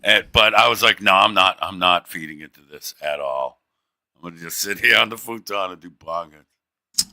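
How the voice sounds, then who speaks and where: A man's voice: low voice, moderate pace, vocal fry, pushed and bright. A man hosting a livestream, teasing and profane in crude casual banter, with a drawl. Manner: brash, profane, teasing, laughs a lot. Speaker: livestream host.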